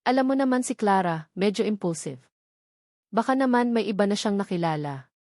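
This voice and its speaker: A woman's voice: medium pitch, expressive, moderate pace, darkish tone, no room sound, clean studio sound, lightly acted. A woman voicing a sly male cousin who poses as a concerned confidant in a romance story. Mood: insinuating.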